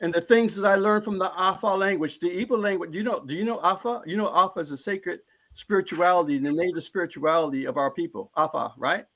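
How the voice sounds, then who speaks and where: A man with a fairly high-pitched voice speaks as a panelist in a live discussion. He is earnest and emphatic, and he circles back over his own phrases as he presses each point home. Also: fast, bright, forceful tone.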